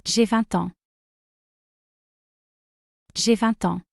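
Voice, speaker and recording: A woman slowly and carefully saying short drill phrases twice each for learners to repeat. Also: a little high, steady tone, gravelly, a little mellow, no echo, studio quality. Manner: careful, deliberate enunciation. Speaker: pronunciation model.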